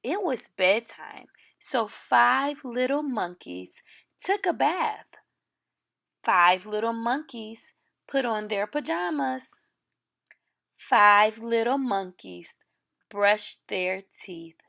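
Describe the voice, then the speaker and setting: Lady reading a kids picture book, super slow n lively, bit creaky, phrasing it for little ones. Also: a little high. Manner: expressive, deliberate phrasing for young listeners. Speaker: storytime reader. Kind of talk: children's picture book read aloud.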